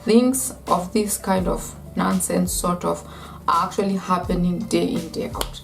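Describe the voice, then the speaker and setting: A woman's voice: on the low side, fairly even intonation, a little slow, creaky. A woman with a Kenyan accent ranting to camera as a vlogger, emphatic and repetitive, with lots of "like". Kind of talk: opinion rant to camera.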